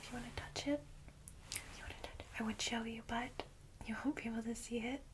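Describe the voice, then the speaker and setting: A woman plays a kindly female vampire welcoming a newcomer in an ASMR roleplay. Her whisper is soft, breathy and fairly high, with an even, soothing line and hesitant, informal phrasing. Clear background noise sits behind the close microphone. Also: quick pace, close to an everyday voice. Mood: gentle, attentive. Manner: gentle, hesitant, reassuring, informal. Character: friendly, caring female vampire guide, gently reassuring.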